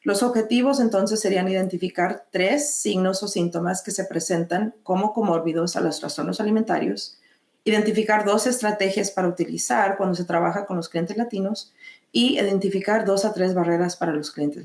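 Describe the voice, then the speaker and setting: A woman with a Mexican accent presenting a mental health lesson, measured, pausing often to restart phrases. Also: mid-range voice, darkish tone, echoey room. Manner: measured, with frequent hesitations and restarts. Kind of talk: educational webinar presentation.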